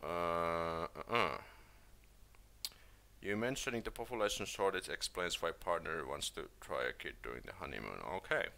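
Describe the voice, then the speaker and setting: A man with a Finnish accent hosting a relaxed live stream and answering questions from the chat. His voice sits low but has a bright, forward edge. He talks loosely and hesitantly, restarting sentences and filling pauses with "like" and "uh". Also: gravelly. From Finland.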